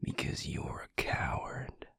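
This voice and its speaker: A man acting a grim, protective vampire in an audio drama, terse and angry in a gravelly, breathy near-whisper. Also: slow pace, fairly bright tone, no room sound, clean studio sound. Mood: bitter defiance. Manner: terse, growling, restrained anger.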